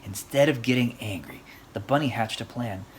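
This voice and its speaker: An adult storyteller reading a rhyming picture book aloud for children in an expressive, sing-song way. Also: mid-range voice, moderate pace. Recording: faint background noise.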